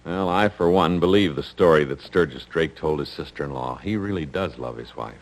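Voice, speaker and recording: A man's voice: extremely low pitch, brisk, a little hiss or hum. An American man hosting a radio drama, reading scripted narration in a 1950s announcer style.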